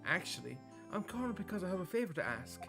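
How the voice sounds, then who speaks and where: A man voicing a calm, wise woman lawyer and mentor from a visual novel, measured and gently encouraging. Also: high voice, gravelly, music in the background, pitched above the performer's own voice, full character voice. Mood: concerned. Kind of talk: visual novel read-aloud.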